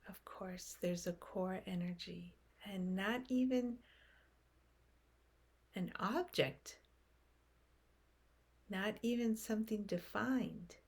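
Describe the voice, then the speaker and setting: A woman leading a guided meditation in a gentle, unhurried manner, speaking in short phrases with long pauses. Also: mid-range voice, creaky, husky.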